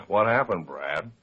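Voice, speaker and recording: A blunt, skeptical cop sidekick in old radio detective drama. His lowish voice jumps around excitably and incredulously, heard through a muffled vintage recording. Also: husky, full character voice.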